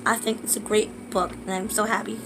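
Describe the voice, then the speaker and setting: A woman with a sore throat, reading a book aloud slowly in a casual vlog, with halting restarts and asides. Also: medium pitch, little pitch movement, over music, rough recording.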